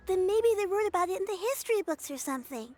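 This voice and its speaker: A courteous little girl in a video game, a caring granddaughter. Her voice is very high and lively, earnest and slightly hesitant, with soft music underneath. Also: fast talker.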